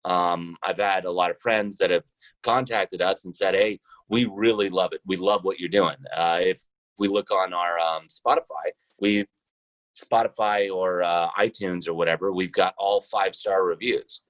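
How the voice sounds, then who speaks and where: Very low-voiced man, dry sound. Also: fairly even intonation, moderate pace, a bit bright, no room sound, poor audio. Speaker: podcast guest, role-playing show founder and trucker. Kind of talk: casual interview conversation.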